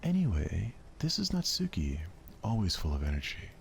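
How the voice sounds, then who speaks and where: Give a man playing an energetic visual novel schoolgirl. She is cheerful and excitable, and her pitch swings widely as she greets and chatters. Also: moderate pace, gravelly, a little hiss or hum, heavily acted. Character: energetic, bubbly, friendly high school girl.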